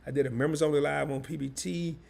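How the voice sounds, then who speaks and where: Hoarse, gravelly-voiced man. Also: on the high side, steady tone, fast talker, a little mellow. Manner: loose and slangy, talks straight to the viewers. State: hoarse, losing his voice. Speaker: live stream host. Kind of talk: casual live stream chat.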